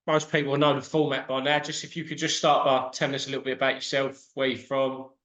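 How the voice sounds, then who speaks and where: A man's voice: mid-range voice, level tone, fast, fairly bright tone, roomy sound, dull audio. A man from the Thurrock area of England hosting an interview in a friendly, hesitant way with fillers. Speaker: interviewer, host. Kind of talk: casual interview.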